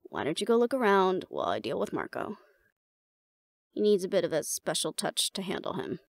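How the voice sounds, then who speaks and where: A woman's voice: lowish voice, very animated, fairly quick, dry recording, studio quality. A woman playing a confident, teasing monster hunter in an audio roleplay, with a wry, coaxing manner. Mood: wry, affectionate. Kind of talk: intimate scripted audio drama acting.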